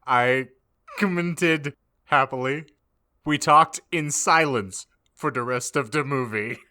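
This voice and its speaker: A man's voice: medium pitch, slow, sharp tone. A man reading a story aloud as a podcast host, theatrical and mock-dramatic with heavy emphasis. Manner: theatrical, heavily emphatic, swinging in volume. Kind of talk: mock-dramatic comedic reading of fan fiction.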